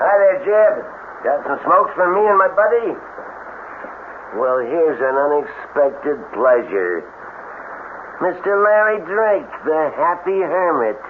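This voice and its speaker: A sneering, spiteful Southern backwoods bully in a radio play. His fairly high voice swoops with mock-friendly taunts. It comes through tinny, like an old broadcast. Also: sing-song, slow, slight background noise. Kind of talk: radio drama acting.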